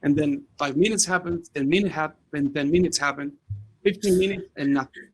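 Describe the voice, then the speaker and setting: A man from Chile, moderating a panel in a formal, halting way that often repeats words. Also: fairly high pitch, darkish tone, poor audio. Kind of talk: moderating an online panel discussion.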